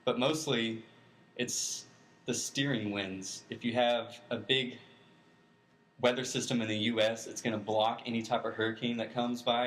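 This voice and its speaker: Lowish-voiced man explaining informally. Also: fairly bright tone, poor audio. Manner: plain-spoken, explains step by step. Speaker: weather expert guest. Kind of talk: informal explanatory interview answers.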